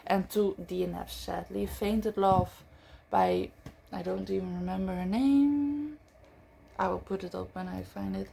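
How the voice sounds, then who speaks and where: A woman's voice: medium pitch, sing-song, measured pace, vocal fry, warm tone, a little hiss or hum. A woman who speaks English as a second language, reviewing books in a casual, chatty way with frequent fillers and self-corrections. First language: not English. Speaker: book vlogger. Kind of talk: casual book review chat.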